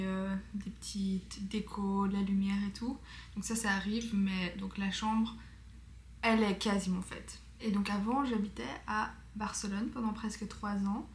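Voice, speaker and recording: A woman, chatting casually in a vlog with lots of fillers. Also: mid-range voice. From Belgium. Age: 20s. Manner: chatty, many fillers, enthusiastic. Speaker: vlogger. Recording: echoey room with faint noise.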